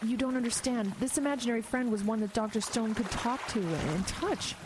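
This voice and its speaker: A woman acting a frightened, compassionate investigator in an audio horror drama, earnest and halting under strain. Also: a little high, noisy background, natural voice. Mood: urgent explaining.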